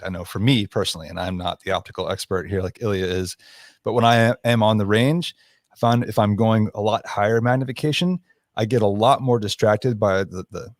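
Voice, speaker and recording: A male guest chats in an easygoing, joking manner. His voice is low, and the sound is dry and close with no room echo. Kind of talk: casual chat.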